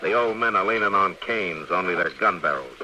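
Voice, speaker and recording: An actor playing a stern, weary middle-aged US Marshal of the Old West in a 1950s American radio western, speaking gravely and bluntly with an American accent. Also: male voice, low-pitched, expressive, normal speed. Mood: grim, accusing. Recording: noisy vintage radio recording.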